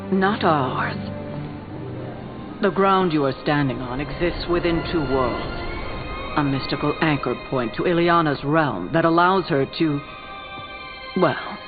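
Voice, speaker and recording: Music plays behind narrow, tinny audio of an assured video game magic user. He is a deliberate, assertive man who takes his time explaining mystical things. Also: a little high. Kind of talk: video game dramatic dialogue.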